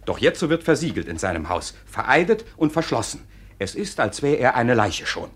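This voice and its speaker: Husky, lively court clerk. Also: male voice, medium pitch, brisk. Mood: relishing the tale. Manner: theatrical, emphatic storytelling. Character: sly, officious male village court clerk. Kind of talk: 1950s German radio play acting.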